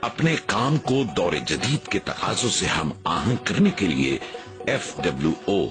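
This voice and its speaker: A man giving formal, scripted documentary narration in measured, formal Urdu diction. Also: low voice, big pitch swings. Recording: voice-over under loud music.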